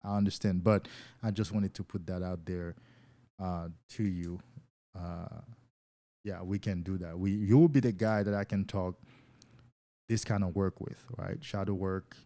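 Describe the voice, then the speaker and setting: A man's voice: sits low, fairly even intonation, a little slow, dark tone, no echo. A man hosting a casual live stream as a coach, talking loosely and enthusiastically to viewers and often repeating words as he goes.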